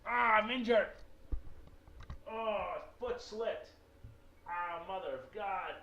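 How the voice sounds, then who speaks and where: A man's voice: sits high, slow pace, bright, forceful tone, muffled recording. A man narrating a visual novel playthrough, with an informal, self-correcting manner and casual asides. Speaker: let's play narrator.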